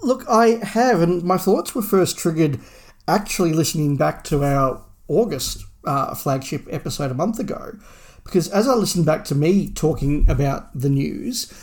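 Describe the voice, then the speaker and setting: A man's voice: on the high side, moderate pace. A man co-hosting a podcast in a casual fan discussion, rambling and thinking aloud. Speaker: podcast co-host.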